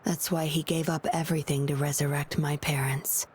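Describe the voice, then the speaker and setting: A woman's voice: deep voice, flat delivery, moderate pace, a little mellow. A woman voicing a guarded, composed video game patroller with a wry, lonely streak. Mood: melancholy, reflective. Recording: clear ambient noise behind voice.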